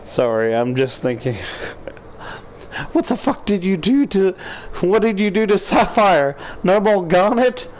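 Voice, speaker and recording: A man's voice: sits high, very animated, slow, a little mellow, slight background noise. A man reading a visual novel aloud and adding joking, sweary commentary. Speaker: video creator reading a game aloud.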